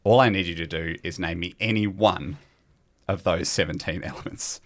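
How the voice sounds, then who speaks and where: A man's voice: low-pitched, moderate pace. An Australian man hosting a quiz in a casual, bantering style. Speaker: quiz host.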